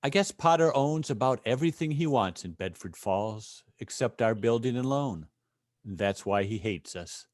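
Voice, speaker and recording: A weary, gentle middle-aged father, played by a man in a radio drama. His mid-pitched voice is expressive and wistful, recorded close and dry. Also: moderate pace, dry recording, close to an everyday voice. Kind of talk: old-time radio play acting.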